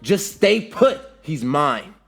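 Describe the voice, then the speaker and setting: A man voicing a proud, stubborn young ninja rival with a curt, forceful, angry delivery in an anime-style story. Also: medium pitch, very animated, a little slow, breathy edge, faint music behind, pitched above the performer's own voice, full character voice. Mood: angry defiance.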